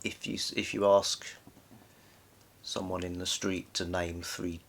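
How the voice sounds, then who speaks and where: Slow, creaky-voiced man, casual book chat. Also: low-pitched, level tone, fairly bright tone.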